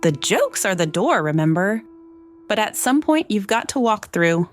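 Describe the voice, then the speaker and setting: A woman's voice: medium pitch, big pitch swings, a little slow. A woman voicing an older retired male comedy mentor in a bedtime story, blunt and knowing, over music. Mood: knowing, firm.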